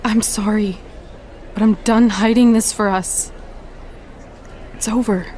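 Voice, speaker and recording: A woman's voice: mid-range voice, steady tone, takes it slowly, dark tone, a little hiss or hum, close to an everyday voice. An actress playing a remorseful young woman wrestler and close friend, in sincere, conversational video game cutscene dialogue. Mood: apologetic, sincere.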